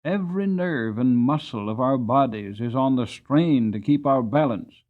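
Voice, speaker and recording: A gentle old-fashioned carriage horse tells his life story , voiced by a man. The storybook delivery is earnest and expressive, with lively swings in pitch. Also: normal speed, phone-quality sound, close to an everyday voice. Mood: earnest, grave. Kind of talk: dramatic storybook narration.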